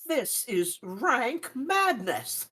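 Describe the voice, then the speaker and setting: A woman's voice: fairly high pitch, very animated, drawn-out words, sharp tone, husky, no echo, full character voice. A woman playing a flustered, dismissive stage actress, theatrical and exasperated, in an audio drama.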